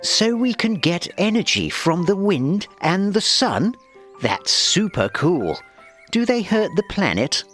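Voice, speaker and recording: A man's voice: high-pitched, very animated, slow, a bit bright, soft background music, pitched above the performer's own voice, heavily acted. A man voicing a curious young male axolotl in a children's storybook, eager and full of wonder. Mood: amazed, curious. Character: young, curious, wide-eyed male axolotl, easily amazed.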